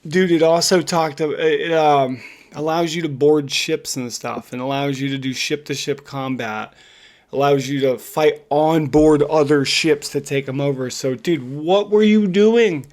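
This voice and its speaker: Male podcast co-host, somewhat higher-pitched, casual, slangy, emphatic and excitable. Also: unhurried. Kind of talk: casual chat about video games and films.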